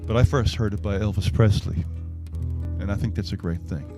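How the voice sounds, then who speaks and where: A man's voice: fairly low pitch, a little fast, over music. A man, a performing singer-songwriter, talking casually between songs in a wry, self-deprecating way. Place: live-streamed music show at a venue.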